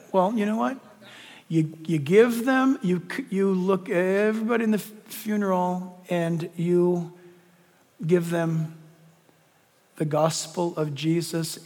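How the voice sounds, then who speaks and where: A middle-aged man preaching a sermon, reading scripture with emphasis and dropping into hushed asides. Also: high voice. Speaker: pastor. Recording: long reverb of a large hall.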